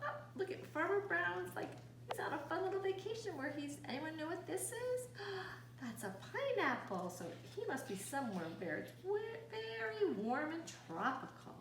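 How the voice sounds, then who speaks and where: A woman reading a children's picture book aloud expressively, pausing to ask young listeners questions. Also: very high-pitched, big pitch swings, fairly bright tone. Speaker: storytime host reading aloud. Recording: roomy echo, distant mic, faint noise.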